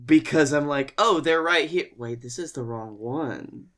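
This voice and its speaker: A man co-hosting a comedy podcast, telling casual stories in an animated, playfully exaggerated way. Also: fairly high pitch, measured pace. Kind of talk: casual comic storytelling.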